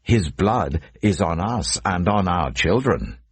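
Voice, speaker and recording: A man voicing a scornful mob member with slow, drawn-out jeers in a dramatised scripture reading. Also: low-pitched, expressive, husky, dry recording, full character voice. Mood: hostile, demanding. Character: scornful mob of jeering men at an execution.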